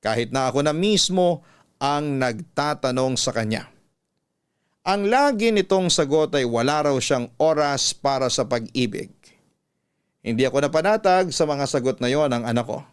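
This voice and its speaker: A man with a Filipino accent reading a listener's letter as a scripted, measured and earnest radio story. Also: medium pitch, expressive, clear, resonant tone.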